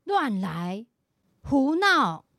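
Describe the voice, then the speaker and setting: A woman's voice: high voice, very animated, extremely slow, heavy background noise, heavily acted. A woman acting a stern but caring mother in a radio drama. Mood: scolding.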